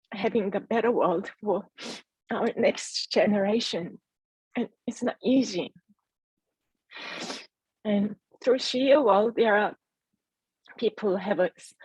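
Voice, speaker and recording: A woman whose first language is Korean, speaking heartfelt and halting on a video call about her business. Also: mid-range voice, darkish tone, slightly rough, no room sound, poor audio. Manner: heartfelt, halting, earnest encouragement. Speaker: entrepreneur sharing her story. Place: home in Adelaide.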